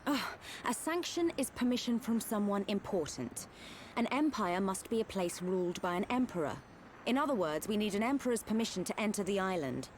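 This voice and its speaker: Woman playing a lively, sharp-tongued young adventurer. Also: mid-range voice, brisk, slight background noise, close to an everyday voice. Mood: exasperated. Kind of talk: video game cutscene acting.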